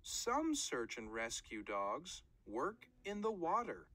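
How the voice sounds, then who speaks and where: A woman's voice: low-pitched, huge pitch swings, slow pace, a bit bright. A woman reading a children's nonfiction book aloud in short, careful phrases, like a read-aloud narrator.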